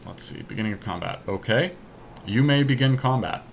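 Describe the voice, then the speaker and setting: A man's voice: medium pitch, animated, fairly quick. A man giving casual gameplay commentary to a teammate, thinking aloud step by step through each move. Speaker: podcast host playing an online card game. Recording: clear background noise, amateur screen capture.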